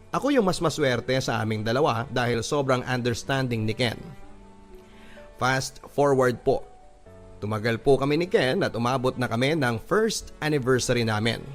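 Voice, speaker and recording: Filipino man narrating over music, mid-low voice. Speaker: radio drama narrator. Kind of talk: dramatic first-person story narration of a letter.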